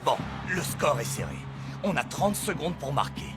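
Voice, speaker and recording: Un comédien de doublage qui joue un jeune joueur de dessin animé, modeste et franc, qui motive son équipe. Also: male voice, a little high, big pitch swings, normal speed. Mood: rallying. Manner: rallying, straightforward. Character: young male team player, earnest and modest leader. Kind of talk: animated series dub acting. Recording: loud music behind.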